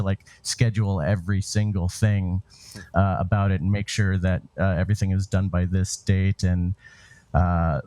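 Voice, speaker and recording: A man speaking as an interview guest, answering casually in a halting, informal, hesitant way. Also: fairly low pitch, dark tone, no echo. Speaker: interview guest, theatre director and writer.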